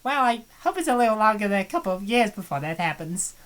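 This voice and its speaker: A woman voicing an earnest young college friend who gently pries, in a fan dub of a visual novel. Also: medium pitch, very animated, fast talker. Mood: concerned.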